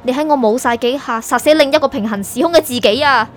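A woman plays a wronged, resolute young heroine in a radio drama, speaking Hong Kong Cantonese. Her voice is high and firm, with an accusing edge, and music plays softly behind it. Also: fast talker, lightly acted. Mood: bitter, accusing. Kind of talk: Cantonese audio drama acting.

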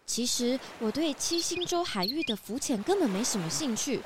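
Woman as haughty lady, swooping high voice. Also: very animated, moderate pace, background noise. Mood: dismissive. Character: haughty, blasé, self-satisfied female cruise guest. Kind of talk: children's audio detective drama acting. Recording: music behind the voice.

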